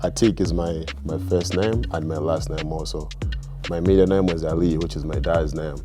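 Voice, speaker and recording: Deep-voiced young man from Tanzania. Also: steady tone, normal speed, music in the background. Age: young adult. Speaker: college basketball player.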